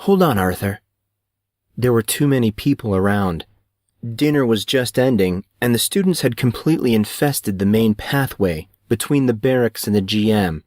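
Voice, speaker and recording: Low-pitched male audiobook narrator. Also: darkish tone, dry recording, clean studio sound. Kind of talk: scripted dramatic story narration.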